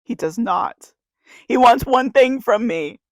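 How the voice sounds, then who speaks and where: A woman's voice: fairly high pitch, animated, slow, dry recording, poor audio. A 21-year-old woman, crying as she reads a confessional spoken-word poem to camera, with a repeating refrain. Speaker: YouTuber.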